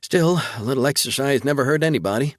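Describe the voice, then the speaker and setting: A man's voice: mid-range voice, quick pace, dry recording, studio quality, voice pushed up, lightly acted. A man voicing an eager, bold young woman who works as a war reporter, in an audiobook. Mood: eager, pushy. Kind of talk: audiobook character dialogue.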